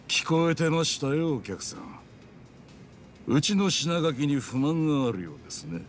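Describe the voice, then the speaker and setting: A man's voice: a little high, lively intonation, soft background music, close to an everyday voice. An actor playing a courteous, composed male chef of a traditional restaurant in a Japanese game dub, speaking politely and with measure. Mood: stern. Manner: measured, polite shopkeeper speech.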